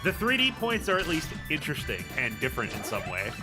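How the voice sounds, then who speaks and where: A man's voice: fairly high pitch, huge pitch swings, fast talker. A man giving casual gameplay commentary as a streamer, with a sardonic, critical and freely profane way of talking. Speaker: game streamer. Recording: loud game music behind voice. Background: loud game music and effects.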